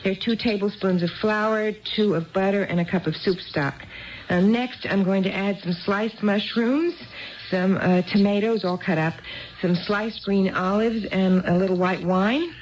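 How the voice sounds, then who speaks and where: An actress playing a nervous, hurt, neglected housewife in a 1970s radio drama, talking in a chatty, halting way that seeks approval. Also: female voice, mid-range voice, darkish tone, slight background noise, close to an everyday voice. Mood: eager, explaining. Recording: muffled vintage radio broadcast recording.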